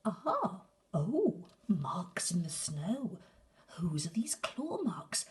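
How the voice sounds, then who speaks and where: Lady voicing a squeaky little monster girl, brave and nosy, big excited cries. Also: slow pace, slight background noise. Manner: high, excited exclamations. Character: bold curious young female gruffalo child.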